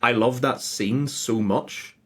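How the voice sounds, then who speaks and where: A husky-voiced man chatting casually and anecdotally as a game master guest. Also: mid-range voice, takes it slowly. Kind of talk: casual anecdotal chat, with scripted dramatic trailer narration.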